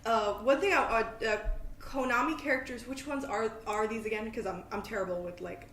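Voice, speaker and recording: Chatty girl podcast host, kinda high voice, rambles and pokes fun at herself, roomy echo. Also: a little fast, fairly bright tone. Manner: chatty, self-deprecating, rambling. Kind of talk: casual chat. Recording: echoey room, faint background noise.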